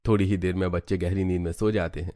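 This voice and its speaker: A man's voice: deep voice, steady tone, quick pace, warm tone. A man narrating a scripted cartoon story.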